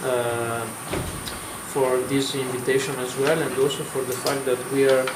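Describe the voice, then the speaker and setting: A man's voice: mid-range voice, flat delivery, normal speed. A man on a panel, opening politely and hesitantly with many fillers. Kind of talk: roundtable remarks. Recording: echoey room with noise.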